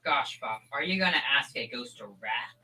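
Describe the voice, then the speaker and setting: A woman's voice: a bass voice, animated, moderate pace, bright, forceful tone, heavily acted, poor audio. A young performer playing an earnest, gullible thirteen-year-old boy in a school old-time radio comedy. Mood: insistent, awed. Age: young teen.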